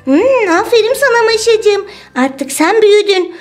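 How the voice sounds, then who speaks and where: A woman voicing a caring, slightly bossy big sister girl in a toy play video, with a high, girlish, teacherly voice. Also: very animated, unhurried, faint music behind, heavily acted.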